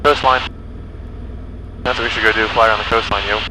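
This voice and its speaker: A man's voice: on the low side, steady tone, a little fast. A young man, a pilot chatting casually through a headset in a small plane cockpit. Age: young adult. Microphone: aviation headset. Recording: cockpit intercom with clear engine noise.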